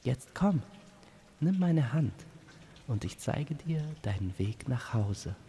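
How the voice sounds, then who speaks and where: A man voicing a gentle, compassionate female nature spirit in a fantasy audio play, speaking softly and solemnly. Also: mid-range voice, very animated, takes it slowly, slight background noise, voice pushed up. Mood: guiding, earnest. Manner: soft, solemn, reassuring.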